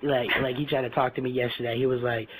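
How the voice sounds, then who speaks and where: A man's voice: medium pitch, flat delivery, fast talker. A man making an improvised prank phone call, talking in pushy, cocky street slang over a headset in online voice chat. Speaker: prank caller. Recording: noisy game voice chat with clicks.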